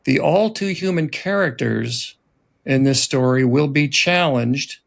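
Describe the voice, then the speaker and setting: A man with a mid-range voice hosts a podcast. He reads a prepared introduction in a formal, measured way. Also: mid-range voice, vocal fry. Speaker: host, writer-director.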